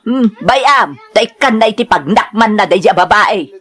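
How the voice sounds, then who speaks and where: A woman's voice: medium pitch, lively intonation, unhurried, fairly bright tone. A woman with a Filipino accent playing an indignant, scornful older mother in an audio drama. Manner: scornful, scolding.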